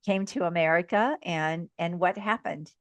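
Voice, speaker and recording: Woman podcast host, lowish voice, upbeat encouraging, dry close sound. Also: moderate pace, no echo. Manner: upbeat, encouraging, affirms often. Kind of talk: interview hosting.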